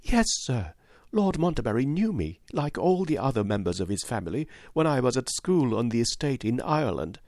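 A man's voice: medium pitch, big pitch swings, normal speed, darkish tone, dry recording, clean studio sound, pitched above the performer's own voice. A man reading an audiobook, voicing a nervous yet determined widow in an earnest, dignified manner. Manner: earnest, dignified, rising to indignation. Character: timid but determined widow, adult woman. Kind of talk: audiobook reading of a classic novel.

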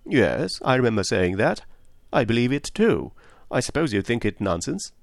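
A man's voice: medium pitch, big pitch swings, moderate pace, vocal fry, no room sound, clean studio sound. A man voicing a blunt, stubborn middle-aged woman in an audiobook, brusque and vehement in manner. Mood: defiant. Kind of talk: audiobook character voice.

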